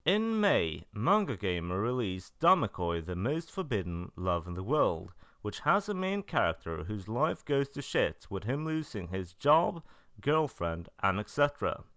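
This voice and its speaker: A man's voice: on the low side, sing-song, measured pace, a bit bright, no echo, studio quality. A man narrating an informal scripted review, matter-of-fact with casual asides. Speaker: video narrator reviewing releases.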